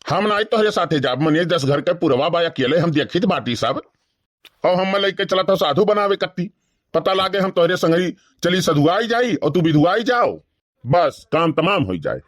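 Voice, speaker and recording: A man's voice: sits high, lively intonation, normal speed, no room sound, clean studio sound. A man voicing a gossipy, complaining village uncle in an Awadhi cartoon comedy, with an Awadhi accent. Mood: protesting.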